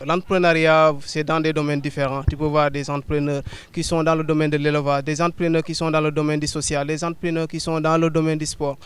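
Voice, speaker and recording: A man's voice: a little high, flat delivery, fast, hardly any pauses, clean tone. A man hosting an interview show, speaking formally and hesitating often with filler sounds. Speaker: host.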